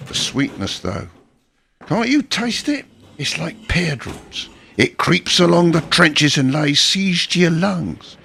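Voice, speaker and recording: Actor as haunted war veteran, gritty creaky voice. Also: male voice, fairly high pitch, very animated, slow pace, bright, forceful tone, a little hiss or hum, heavily acted. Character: haunted older stagehand, war veteran, gruff and anxious. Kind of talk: radio drama acting.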